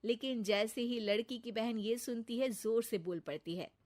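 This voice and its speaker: A woman's voice: a little high, normal speed, a little mellow. A woman narrating a scripted cartoon story as its storyteller.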